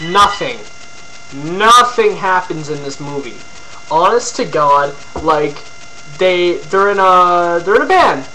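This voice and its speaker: A man's voice: high voice, very animated, slow pace, pushed and bright, a little hiss or hum, low-quality recording. A young man from Ontario, rambling casually to his webcam with lots of fillers and crude jokes. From Ontario, Canada. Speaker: vlogger. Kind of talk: casual rambling video blog.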